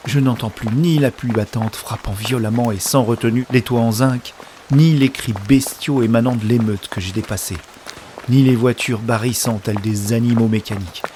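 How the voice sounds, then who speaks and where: A man's voice: mid-range voice, fairly even intonation, moderate pace, mellow, a little hiss or hum, lightly acted. A man playing an anxious man on the run, narrating an audio drama in the present tense in short, urgent phrases. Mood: exhausted, determined. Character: anxious man racing through a riot to reach a woman.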